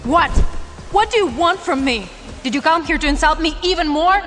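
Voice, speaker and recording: A woman's voice: high-pitched, lively intonation, moderate pace, a bit bright, long reverb tail. An actress playing a proud, bitter, wronged young mother in an audio drama, speaking in a curt, indignant, emphatic way. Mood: hurt, angry. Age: young adult. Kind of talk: dramatised audio story acting.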